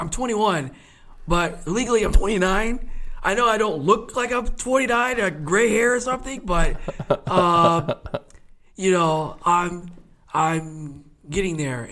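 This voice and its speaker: A man in his late twenties, co-hosting a casual sports podcast. He talks in a chatty, rambling way, fills his sentences with fillers and often laughs. His voice sits a little above the usual male pitch. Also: a bit bright. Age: 20s. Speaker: podcast co-host.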